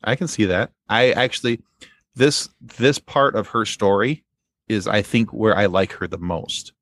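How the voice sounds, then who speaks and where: A man as a podcast guest, chatting casually about fandom in halting phrases. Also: fairly low pitch, a bit bright, dry recording. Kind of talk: casual fan discussion.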